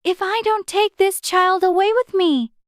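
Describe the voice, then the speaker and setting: Very high-pitched prim little girl. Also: measured pace, no echo, clean studio sound. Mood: musing, concerned. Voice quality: high, childlike. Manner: polite, prim, questioning. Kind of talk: dramatized storybook reading.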